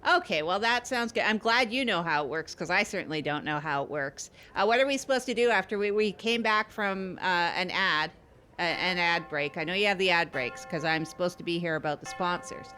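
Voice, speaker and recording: A woman's voice: on the low side, brisk, pushed and bright, soft background music. A woman playing a blunt, fussy, folksy guest host in improvised comedy podcast banter, often repeating herself. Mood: puzzled. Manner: blunt, chatty, repeats phrases.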